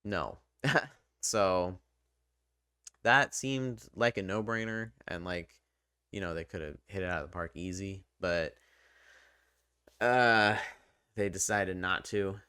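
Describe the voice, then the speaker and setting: A man's voice: low-pitched, takes it slowly, pauses often, bright, forceful tone, smooth, dry recording, studio quality. A man giving casual, unscripted video game commentary in an informal, hesitant, self-deprecating way. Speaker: video game reviewer and channel host.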